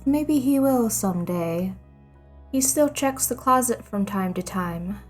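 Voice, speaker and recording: A woman reading a scripted story aloud as narrator. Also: medium pitch. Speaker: fan fiction narrator.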